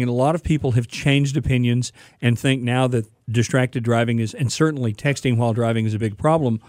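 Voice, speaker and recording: Relaxed man hosting a car radio show. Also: medium pitch, dry recording, clean studio sound. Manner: relaxed, informative, with filler uhs. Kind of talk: casual radio chat.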